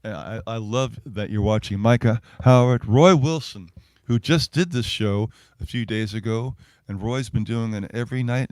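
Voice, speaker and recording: A man's voice: fairly low pitch, darkish tone, no room sound. A man, a performing singer-songwriter, talking casually between songs in a wry, self-deprecating way. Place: live-streamed music show at a venue.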